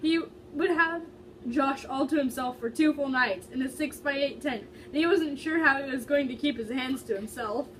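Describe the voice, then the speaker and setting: Higher-voiced woman reading aloud, off-mic. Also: moderate pace, a bit bright. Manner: stumbles over words while reading. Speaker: amateur reader. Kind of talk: dramatic fan fiction reading, casual and giggly. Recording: distant mic, faint background noise.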